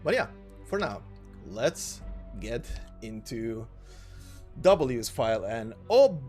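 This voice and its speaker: A man's voice: medium pitch, sing-song, slow pace, darkish tone. A man narrating a gaming video in an informal way, moving between casual commentary and reading lore text aloud. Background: background music.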